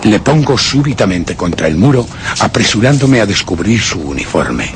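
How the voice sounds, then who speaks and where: Deep, brooding murderer, Castilian accent. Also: male voice, sing-song, normal speed, background noise. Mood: brooding menace. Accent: Castilian Spanish. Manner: theatrical, literary, ominous, dropping to whispers. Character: brooding, scheming murderous man narrating his crime. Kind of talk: dramatic scripted radio suspense narration.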